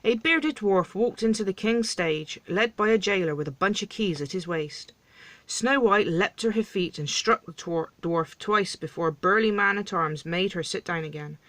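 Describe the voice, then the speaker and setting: A storyteller reading a satirical fairy tale aloud, acting out the dialogue with animated emphasis. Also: medium pitch, moderate pace, no room sound.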